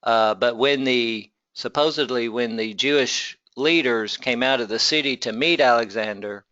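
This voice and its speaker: Bright, clear-voiced man lecturing. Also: mid-range voice, moderate pace, no echo, lo-fi sound. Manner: explanatory, conversational, with rhetorical questions. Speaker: teacher of a Bible survey class. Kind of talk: informal classroom lecture.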